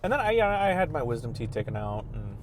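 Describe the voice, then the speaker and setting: A man's voice: mid-range voice, sing-song, fast, noisy background. Chatty man in his thirties. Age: 30s. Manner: chatty, rambling with frequent restarts. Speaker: priest guest. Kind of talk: casual chat.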